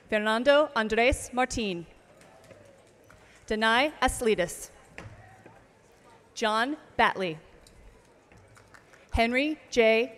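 A woman reading out graduate names at an outdoor commencement, slow and measured, over a PA system. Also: on the high side, fairly bright tone. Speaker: name reader at commencement. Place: outdoor ceremony stage. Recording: long reverb of outdoor PA.

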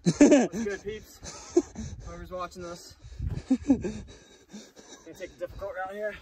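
A man's voice: high-pitched, steady tone, measured pace, a little mellow. A young man hiking outdoors, joking playfully while he is heard from a distance on his friend's camera. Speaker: hiking companion. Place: outdoors on a mountain trail. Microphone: heard from a distance on the other hiker's camera.

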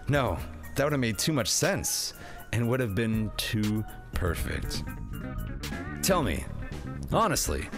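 Jokey man hosting a film review. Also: mid-range voice. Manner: jokey, theatrical, slangy. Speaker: show host and film reviewer. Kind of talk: comedic scripted film review narration.

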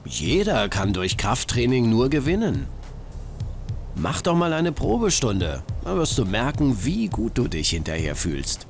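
Brash, profit-hungry gym owner for a cartoon game, lively pitch, boastful salesman delivery. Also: unhurried, vocal fry, faint music behind, exaggerated voice. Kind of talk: cartoon adventure game dialogue.

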